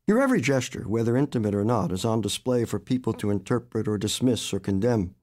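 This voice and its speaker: A man in his seventies, an author reading his own short story aloud in a measured, expressive way. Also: lowish voice. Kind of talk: literary fiction reading.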